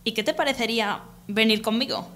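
A woman's voice: on the high side, a little fast, a bit bright, a little hiss or hum, lightly acted. A woman voicing an eager, nerdy teenage boy in a radio serial, with an accent from Spain. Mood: shy. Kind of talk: Spanish radio serial acting.

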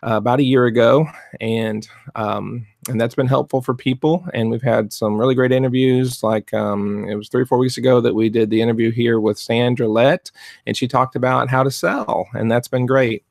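Middle-aged man, soft-spoken coach, gravelly edges, rambling with fillers, dry close desk mic. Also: mid-range voice, steady tone, moderate pace, dry recording. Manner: rambling, many fillers and restarts. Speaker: fluid art business coach. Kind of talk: casual live-stream talk. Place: cluttered home art studio, indoors. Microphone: desk mic in front of webcam.

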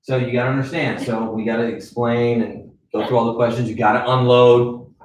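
A man in his forties leading a live sales training in a conference room, with direct, animated coaching and frequent check-ins. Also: medium pitch, a little fast. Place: conference room with a wall screen. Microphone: far camera. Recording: echoey room from far off.

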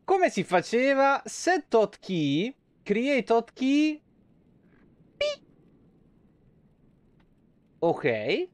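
A man's voice: extremely high pitch, huge pitch swings, drawn-out words, pauses often, no room sound. A man in his thirties chatting casually on a live game stream, rambling and swearing freely, close to a desk microphone. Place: small room at home. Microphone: close desk microphone on an arm.

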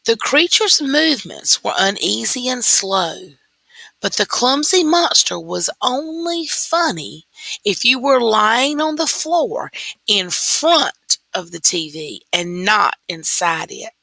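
A woman teacher reading a story aloud slowly and deliberately into a computer microphone. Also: on the high side, very animated, vocal fry, bright, forceful tone, dry recording. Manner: slow, deliberate read-aloud.

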